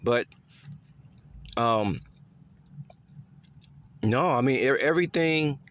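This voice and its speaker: A man talking in a casual, rambling monologue to his viewers, repeating himself with lots of fillers and letting his voice swing higher and lower. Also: extremely slow, fairly bright tone. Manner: pitch swings up and down. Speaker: vlogger.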